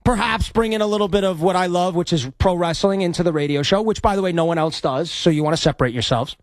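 A male sports talk radio host whose voice sits notably high. He is cocky and opinionated, bragging freely between takes. The sound is close and dry, with no room around it. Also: fast talker, dry recording. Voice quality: high-pitched voice. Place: radio studio.

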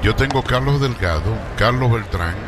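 A man's voice: medium pitch, moderate pace, background noise. A man calling a baseball game on radio, chatting with his partner between plays and trailing off with fillers. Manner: relaxed banter between calls, trailing fillers. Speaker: play-by-play announcer. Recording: radio broadcast with music under.

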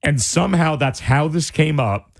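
A male radio host in casual on-air chat, animated and incredulous, his voice starting to go. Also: fairly high pitch, vocal fry, no echo, studio quality. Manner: animated, incredulous, quick asides. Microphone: studio microphone.

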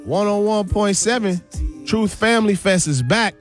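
A man's voice: sits high, normal speed. A man hosting a radio show in a hyped, slangy style, repeating catchphrases as he banters and makes announcements. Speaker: radio host. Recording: music bed under the voice. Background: music.

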